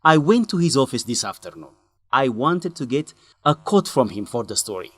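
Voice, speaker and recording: An actor playing an earnest male journalist in a radio drama, speaking expressively in simple, deliberate sentences. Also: mid-range voice, very animated, a bit bright, no room sound, faint music behind. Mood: serious, recounting. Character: earnest young male journalist breaking bad news to a friend. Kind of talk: English-learning radio drama acting.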